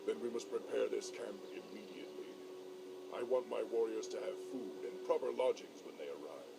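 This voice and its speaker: A stern young orc war chief with a gravelly, low voice. He talks in a commanding way, and the captured game audio hisses loudly behind him. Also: normal speed, far from the mic.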